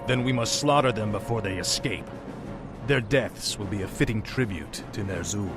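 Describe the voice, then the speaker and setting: A man's voice: on the low side, normal speed, close to an everyday voice. An actor playing a cold, arrogant young undead warrior king in a video game cutscene, commanding and menacing in formal speech. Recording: music behind the voice.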